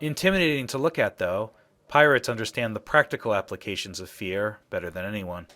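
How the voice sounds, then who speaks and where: A man's voice: lowish voice, brisk, bright, forceful tone. A man playing a self-assured, worldly spacefarer in a video game, talking in a confident, conversational way. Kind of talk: acted game dialogue.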